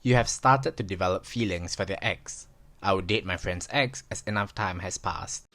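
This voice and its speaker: Singaporean guy hosting, deep voice reading out the prompts. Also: moderate pace, sharp tone. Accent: Singaporean English. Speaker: host. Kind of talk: scripted reading.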